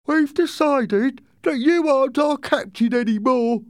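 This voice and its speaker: A man's voice: extremely high pitch, measured pace, no echo, clean studio sound, voice pushed up. A man voicing a rebellious, angry pirate crewman in a storybook reading.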